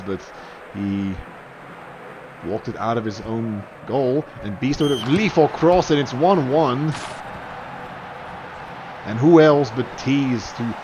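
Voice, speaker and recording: A man's voice: medium pitch, dull audio, background noise. A young man commentating a live online match in a chatty way, voice jumping up on goals and saves. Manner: chatty, rises sharply on goals and saves, fillers and restarts.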